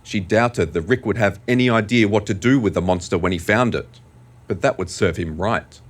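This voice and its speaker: A man narrating an audio drama in a measured, deliberate, scripted storytelling style. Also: lowish voice.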